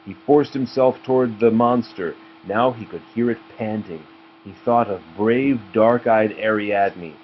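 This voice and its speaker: Male storybook reader, parent reading to child, lower pitch, muffled home recording. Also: a little slow, slight background noise. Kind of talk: storybook read-aloud narration.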